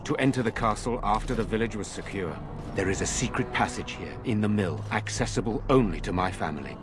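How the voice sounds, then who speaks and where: Actor voicing an earnest young noble knight. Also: male voice, lowish voice, fairly quick, over music, close to an everyday voice.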